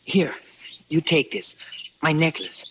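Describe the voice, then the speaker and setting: Man playing an earnest, high-voiced Apache boy. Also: level tone, slow, slightly rough. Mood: solemn, gentle. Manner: formal, simple, careful phrasing. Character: earnest wounded Apache boy, loyal and solemn. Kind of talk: 1950s radio drama acting. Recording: muffled old radio recording, music behind.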